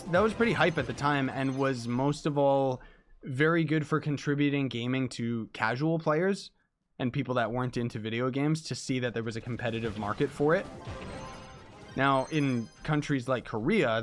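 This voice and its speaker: A young man talks casually to his stream viewers as he plays, his mid-range voice hoarse and fraying from illness. He rambles in a relaxed, excitable way, full of "like" and "dude." Faint game music plays underneath. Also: medium pitch. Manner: chatty, excitable, laid-back, heavy use of "like" and "dude". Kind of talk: casual livestream chat while gaming.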